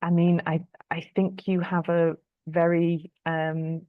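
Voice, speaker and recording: A woman's voice: lowish voice, little pitch movement, takes it slowly, no room sound, narrow, tinny audio. Thoughtful woman on a video call. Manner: thoughtful, many fillers and "kind of". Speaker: public health lead answering career questions. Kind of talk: webinar Q&A answers.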